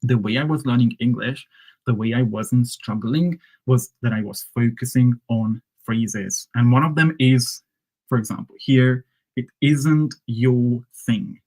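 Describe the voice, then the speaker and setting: A man whose first language is Hungarian, teaching English phrases in a relaxed live lesson and checking in with "right?" and "okay?". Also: mid-range voice, measured pace, low-quality recording. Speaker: English coach.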